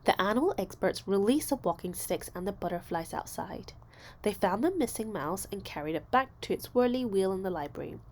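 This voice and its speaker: Animated woman reading a children's story. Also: mid-range voice, fairly quick. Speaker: storybook reader. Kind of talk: expressive children's read-aloud narration.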